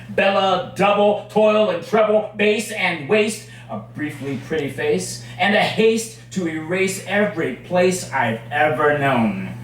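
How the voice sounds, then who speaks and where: A man performing his own poetry at a live reading, with measured, dramatic phrasing and deliberate pauses. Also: high voice, fairly bright tone. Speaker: poet reading own work. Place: indoors at a cafe poetry reading. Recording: very echoey room, distant mic, faint noise.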